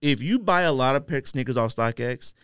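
An American man talks in a casual, slangy podcast style, full of opinion. His voice is crisp and medium-pitched, and the sound is very dry, with no room to it. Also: lively intonation, normal speed, a bit bright, no echo. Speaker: sneaker podcast host.

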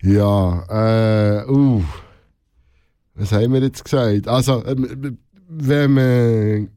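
A middle-aged man with a Swiss German accent, talking casually and reflectively in an interview, a little halting and informal. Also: mid-range voice, warm tone, dry recording, studio quality. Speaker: radio show host being interviewed.

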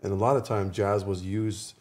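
A man with a fairly low voice speaks as a guest in a casual podcast discussion. His delivery is halting, and he reworks his phrases as he explains. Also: steady tone, a little mellow.

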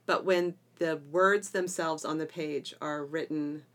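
A woman hosting a casual educational podcast, chatting in an animated way and quick to laugh. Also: low-pitched. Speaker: podcast host and homeschool teacher.

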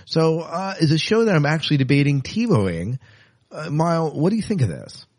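A man's voice: fairly high pitch, big pitch swings, moderate pace, darkish tone, dry recording, clean studio sound. A man co-hosting a podcast, chatting casually, thinking aloud and reading out news in a conversational way. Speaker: podcast co-host.

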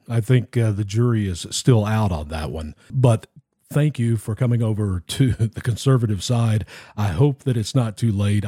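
A man hosting a live political talk show in an audio room, speaking in a steady, deliberate way. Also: on the low side, breathy edge, no echo, studio quality. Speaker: host.

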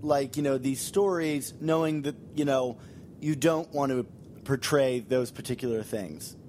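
A man's voice: medium pitch, a little slow, a little mellow, a little hiss or hum. A man hosting a podcast, chatting casually as he interviews a guest.

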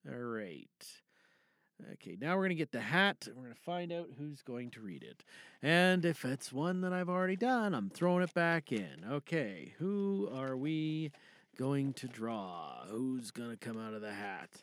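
Animated, bright-voiced man hosting a podcast. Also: medium pitch, measured pace, no echo. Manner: rambling, showy, talks himself through actions. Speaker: host of an audio drama podcast. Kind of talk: casual, theatrical hosting chat.

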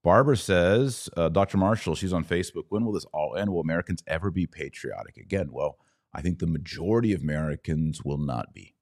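Very deep-voiced man hosting a livestream. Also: animated, brisk, a little mellow, no echo, clean studio sound. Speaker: podcast host. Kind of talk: casual live-stream commentary, reading viewer comments aloud.

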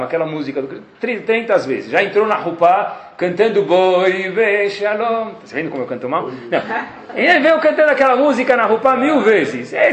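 A Brazilian man teaching a class as a rabbi, on the higher side for a man, his voice leaping up and dropping low as he acts out the voices in his stories. He speaks with a Brazilian Portuguese accent, and the room around him rings with echo. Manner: animated storytelling, acts out quoted dialogue. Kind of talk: Torah class lecture.

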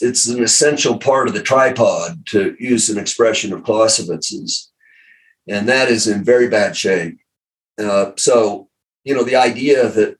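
A man's voice: on the low side, recorded from a distance, rough recording. A man in conversation as a guest commentator, speaking with frequent fillers and restarts. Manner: hesitant, many fillers and restarts. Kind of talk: interview discussion.

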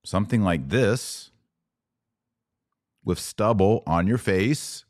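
A middle-aged American man with a fairly low voice and a very slow, measured pace. He argues like a commentator, pressing points emphatically and posing rhetorical questions. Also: lively intonation, darkish tone. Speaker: podcast host. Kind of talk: opinion commentary on played clips.

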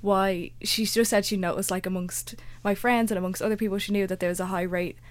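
Fast-talking woman, thinking aloud. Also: medium pitch, steady tone, clean tone, dry recording. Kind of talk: casual chat.

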